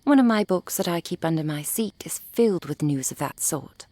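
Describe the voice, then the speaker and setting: A composed, cold-hearted merchant played by a woman in an ASMR roleplay drama. The voice sits low and is recorded very dry and close. Every phrase is formal and precisely measured, with a refined polish. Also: animated, warm tone, dry recording. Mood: cool, matter-of-fact.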